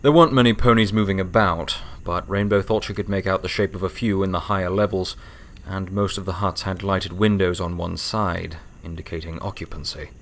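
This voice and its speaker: A man's voice: sits low, steady tone, brisk, fairly bright tone. A man reading a scripted story aloud as narrator.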